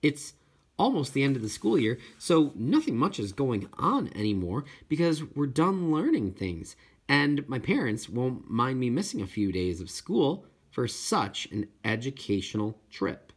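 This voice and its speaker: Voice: mid-range voice, sing-song, measured pace, slightly rough, heavily acted. An audiobook reader voicing an eager, determined schoolgirl who loves whales, in an earnest manner. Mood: eager, hopeful.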